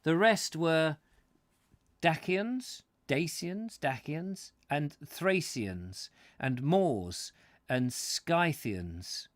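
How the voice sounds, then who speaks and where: A man's voice: fairly high pitch, lively intonation, very slow, no room sound, voice pushed up. A man voicing a hearty Roman centurion reminiscing in an exclamatory storytelling manner.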